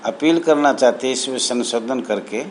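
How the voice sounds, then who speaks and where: A man speaking as an association spokesman, reading out a formal numbered appeal in a halting, deliberate, earnest way. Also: medium pitch. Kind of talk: formal appeal, reading numbered points aloud with added commentary. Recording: noticeable background noise.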